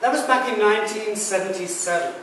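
A man's voice: high-pitched, a little fast, a bit bright, a little hiss or hum. A British-born man in his sixties giving a talk to an audience, chatty and joking with them. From Britain. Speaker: guest speaker. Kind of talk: public talk. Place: large echoey lecture room. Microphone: far camera mic. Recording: echoey room from far off.

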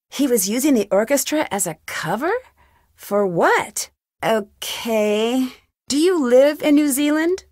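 Game heroine: curious young amateur sleuth, conversational and questioning, on the higher side, very dry recording. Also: female voice, no echo. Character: inquisitive, friendly young female amateur sleuth. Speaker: game protagonist. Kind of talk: video game dialogue lines.

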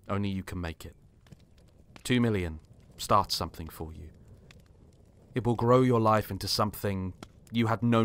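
A man acting as a mysterious male stranger in an audio drama. His voice is low, and he speaks with earnest insistence, as if he knows what is coming. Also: fairly bright tone. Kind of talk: dramatic script reading.

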